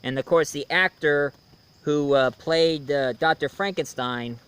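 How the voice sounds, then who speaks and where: A man giving a casual movie review as host, in a halting way with pauses mid-sentence and repeated phrases. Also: fairly high pitch, a bit bright, clean tone.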